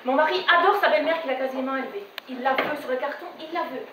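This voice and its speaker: A woman's voice: sits high, fast, far from the mic, lightly acted. A woman on stage playing an exasperated bride in a dramatic, emphatic theatrical monologue. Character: exasperated bride recounting family wedding-invitation squabbles. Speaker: amateur theatre actress. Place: theatre stage at a dress rehearsal. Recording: echoey stage recorded from afar, faint noise.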